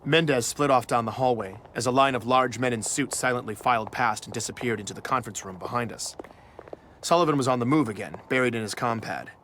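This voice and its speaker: A man's voice: mid-range voice, fast talker, fairly bright tone, slight background noise, natural voice. An actor playing an investigative journalist, narrating his own story in an audio drama with a dry, confiding manner. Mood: measured, ominous. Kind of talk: first-person scripted narration for audio drama.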